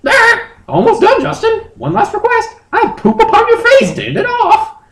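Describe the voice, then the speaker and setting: A man puts on a female bird monster for a comic story reading. The voice is a strained, squeaky falsetto that swoops wildly, gleeful and commanding. The room echoes. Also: very animated, moderate pace, gravelly, fairly bright tone, exaggerated voice. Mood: eager, demanding. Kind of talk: comedic dramatic reading of fan fiction.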